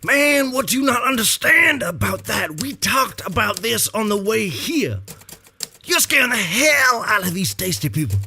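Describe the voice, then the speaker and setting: An actor playing a streetwise, irritated cannibal hobo who scolds brusquely in a rough voice, in a scripted comedy. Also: male voice, high voice, big pitch swings, moderate pace, pushed and bright, faint music behind, full character voice. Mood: scolding, irritated. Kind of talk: scripted audio comedy courtroom acting.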